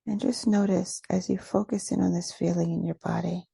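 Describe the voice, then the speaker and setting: A woman leading a guided meditation in a soft, breathy voice, soothing and unhurried, pausing between short phrases. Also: on the low side, steady tone, no room sound. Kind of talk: guided meditation, gentle scripted instruction.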